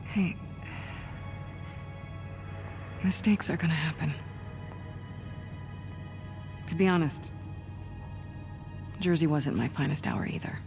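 A woman's voice: low-pitched, moderate pace, gravelly, a little mellow. An actress playing a tough, dry-witted female spy hero in a video game cutscene, clipped in manner. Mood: reassuring. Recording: music behind the voice.

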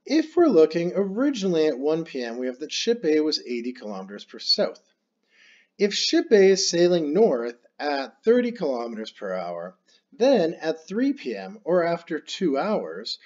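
A man's voice: a little high, a little mellow, muffled recording. A man teaching a calculus problem step by step while writing it out on screen. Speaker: math teacher. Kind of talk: screen-recorded math lesson.

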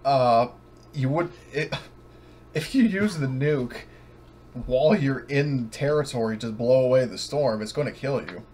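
A man narrating an online tabletop roleplaying game as game master, sometimes halting, over voice chat. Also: mid-range voice, mellow, slight background noise.

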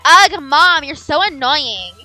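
Very high, excitable young woman. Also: slow pace, faint music behind. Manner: dramatic, exclamatory, sassy. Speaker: gaming video host and player. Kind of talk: excited roleplay gameplay commentary. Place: small indoor gaming room. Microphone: headset.

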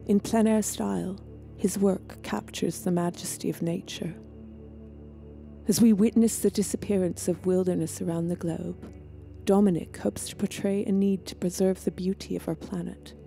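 Very mellow woman narrating. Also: on the low side, vocal fry. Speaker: podcast host. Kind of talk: scripted narration.

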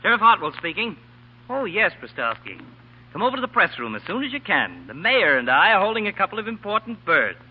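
Blustering, barking sheriff, high-pitched. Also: male voice, very animated, brisk, breathy edge, heavily acted. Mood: officious. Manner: barking, officious. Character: blustering, bullying male county sheriff. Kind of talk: 1940s radio acting. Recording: dull 1940 radio broadcast recording.

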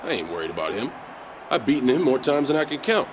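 An actor playing a dismissive, cocky pro wrestler in game cutscene dialogue. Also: male voice, medium pitch, very animated, quick pace, noisy background, natural voice.

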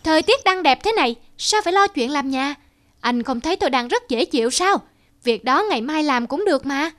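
High-pitched whiny cartoon monkey. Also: female voice, lively intonation, a little fast. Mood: carefree. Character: lazy, whiny cartoon monkey, easily swayed. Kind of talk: children's fable cartoon dubbing. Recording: loud music and rain behind. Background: music and rain.

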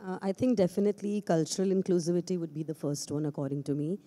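A woman with an Indian English accent giving a brief, measured answer as an HR head on a conference panel, into a handheld mic. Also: fairly low pitch, fairly even intonation, dark tone. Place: large conference stage.

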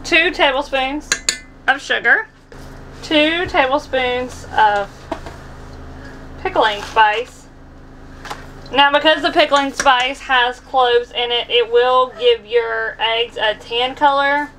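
An American woman in her twenties hosts a casual home cooking vlog. Her voice sits on the high side and is notably bright and forward. She is recorded on a camera in a small kitchen, with light noise behind her. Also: slow, long pauses, poor audio. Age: 20s. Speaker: vlog host. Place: small camper kitchen, indoors.

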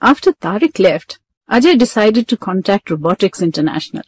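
Woman narrator, dry medium-pitched voice. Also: big pitch swings, brisk, husky, no room sound. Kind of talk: scripted children's story narration.

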